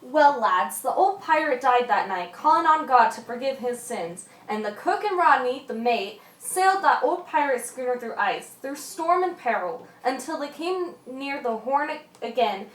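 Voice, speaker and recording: A woman playing an old seafarer who tells a yarn to young listeners in a radio drama, measured with occasional stumbles. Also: high voice, lively intonation. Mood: storytelling, measured. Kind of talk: radio drama storytelling narration. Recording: echoey room, distant mic.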